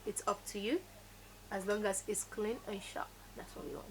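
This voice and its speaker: A woman's voice: medium pitch, fairly even intonation, moderate pace, slight background noise, rough recording. Woman teaching makeup. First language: Yoruba. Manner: instructive, emphatic, repeats key words. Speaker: makeup tutorial host. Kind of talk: step-by-step beauty tutorial.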